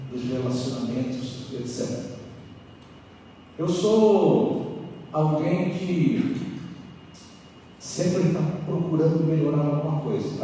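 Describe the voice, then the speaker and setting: A man's voice: fairly high pitch, mellow. A man in his sixties from Angola with a Brazilian accent, a pastor teaching at a seminar in a conversational way, telling personal anecdotes and addressing listeners directly. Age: 60s. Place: church hall, before an audience. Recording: echoey hall, distant mic, faint noise.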